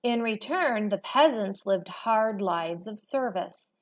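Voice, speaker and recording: A woman reading a history textbook aloud as a teacher, in a measured, expository manner. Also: mid-range voice, lively intonation. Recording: dull, lo-fi recording.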